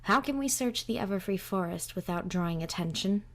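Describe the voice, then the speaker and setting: A woman playing a cool, questioning female pony cultist in an audio drama, speaking in a measured, precise way. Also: mid-range voice, lively intonation, lightly acted.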